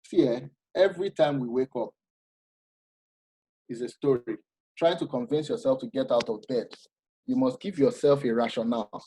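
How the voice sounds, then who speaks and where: A man giving an informal masterclass talk, animated and explanatory, often restarting his sentences. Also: mid-range voice, moderate pace, long pauses, breathy edge, no echo, rough recording. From Nigeria. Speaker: masterclass speaker.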